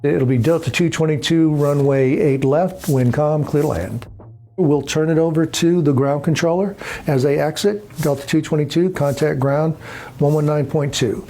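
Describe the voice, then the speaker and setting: A man's voice: fairly high pitch, moderate pace, warm tone. A man in an interview, explaining air traffic control work in a matter-of-fact way.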